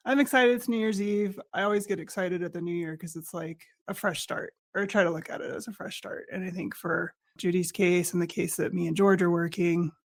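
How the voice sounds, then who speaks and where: A woman investigator guesting on a podcast, a little raspy from a head cold, explaining things clearly and methodically. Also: lowish voice, fairly even intonation, a little fast, few pauses.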